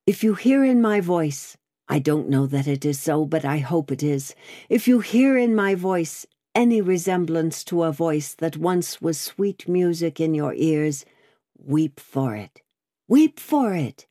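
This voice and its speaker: A woman playing a tender young daughter, imploring, mellow. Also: low-pitched, lively intonation, measured pace, dry recording, clean studio sound. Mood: fervent, tearful pleading. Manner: imploring, fervent, gentle. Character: tender, pleading young daughter, devoted and earnest. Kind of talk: dramatic audiobook character reading.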